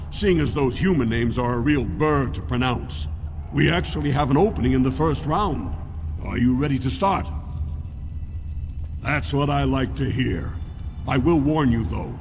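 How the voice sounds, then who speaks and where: A man's voice: mid-range voice, gravelly, darkish tone, slight background noise, close to an everyday voice. An actor playing an officious dwarf master of arena fights in a video game, formal in his delivery. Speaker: arena announcer and registrar. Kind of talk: video game dialogue acting. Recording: long reverb, music behind.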